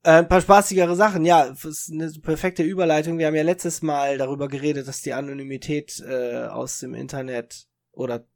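A man's voice: fairly high pitch, little pitch movement, brisk, vocal fry, few pauses, a little mellow. A young man from Germany, co-hosting a casual podcast chat, rambling with restarts and fillers and laughing often. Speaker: podcast co-host.

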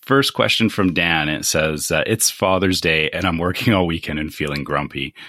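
A man in his thirties with a very low voice, co-hosting a casual podcast. He hesitates and gropes for words, then picks his thought back up. The sound is dry and close to the mic. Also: moderate pace, sharp tone, dry recording. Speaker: podcast co-host.

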